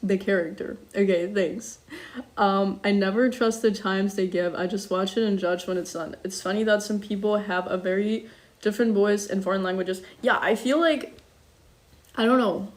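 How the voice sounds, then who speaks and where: A young woman streaming live while she cooks, chatting casually and reading out viewer comments. She speaks international-school English with slight German touches and fills her talk with ums and quick apologies. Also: medium pitch, steady tone. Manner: chatty, self-deprecating, frequent ums and apologies.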